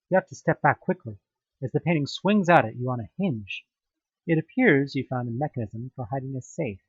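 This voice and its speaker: A man giving a theatrical, expressive second-person narration of a horror story. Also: fairly high pitch, slightly rough, no echo, muffled recording. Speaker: narrator of an audio drama.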